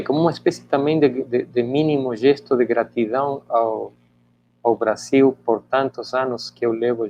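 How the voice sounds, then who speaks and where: A man's voice: mid-range voice, dull audio, a little hiss or hum. A middle-aged man whose native language is Spanish, talking reflectively in an interview with many hesitant restarts. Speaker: interviewee, author.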